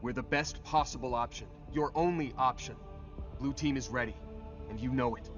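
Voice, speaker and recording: A man playing a stoic, resolute supersoldier team leader with terse, steady delivery in an animated sci-fi film. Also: medium pitch, moderate pace, dull audio, faint music behind, close to an everyday voice. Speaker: squad leader.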